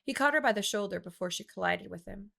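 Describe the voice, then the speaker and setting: Creaky-voiced woman narrating fiction. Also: medium pitch, animated, fast talker, pushed and bright. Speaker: author reading own audiobook. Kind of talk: expressive scripted narration of fiction.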